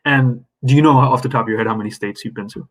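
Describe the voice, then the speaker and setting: A man's voice: medium pitch, quick pace, a bit bright. A man hosting a podcast, easygoing and curious, chatting casually with his guest. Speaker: podcast host. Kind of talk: casual interview chat.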